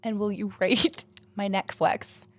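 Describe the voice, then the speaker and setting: A woman's voice: mid-range voice, steady tone, fairly bright tone, no echo. Woman podcast host, giggly and teasing, dry close-miked sound. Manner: giggly, teasing, talks in quick playful bursts. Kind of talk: casual joking chat.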